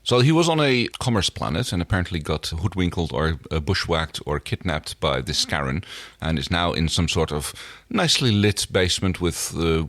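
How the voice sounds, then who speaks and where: A man's voice: low voice, expressive, moderate pace, few pauses, a bit bright. A man co-hosting a podcast, casually recapping an episode and often searching for his words. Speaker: podcast co-host.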